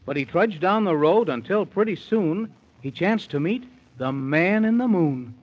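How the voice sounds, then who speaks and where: A man tells a bedtime tale in bouncy, theatrical rhyme. His voice is animated and slightly breathy. The sound is a dull, old 1930s radio recording. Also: high voice, measured pace. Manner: theatrical, folksy, sing-song rhymes. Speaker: storyteller narrator.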